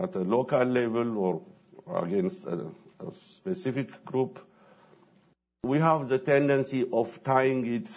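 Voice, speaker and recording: Middle-aged Ethiopian-accented man, dark mellow tone, deliberate academic panelist. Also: lowish voice. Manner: deliberate, repeats words and asks himself questions. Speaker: panel speaker, professor. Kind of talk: academic panel talk to an audience. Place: lecture room with audience.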